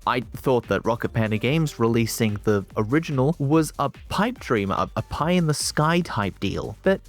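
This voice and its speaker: A man's voice: medium pitch, lively intonation, normal speed. A man reading a scripted video game review as a voice-over, with colloquial, wry and opinionated phrasing. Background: music behind the voice.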